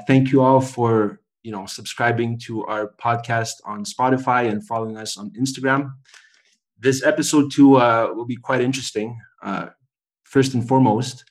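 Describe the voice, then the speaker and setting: Male podcast host in sports banter. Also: mid-range voice. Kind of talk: casual podcast chat.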